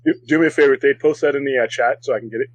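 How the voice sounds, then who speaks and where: Live-stream host, mid-pitched and chatty, rambling delivery. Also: male voice, rapid-fire. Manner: rambling, filler-heavy, friendly. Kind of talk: casual live-stream chat.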